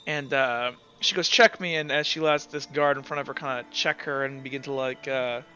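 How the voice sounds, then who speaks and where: A man's voice: mid-range voice, little pitch movement, fairly quick, pushed and bright. A man improvising narration as a tabletop game master, in hesitant, piecemeal bursts full of fillers. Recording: dull audio, faint music behind. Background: faint music.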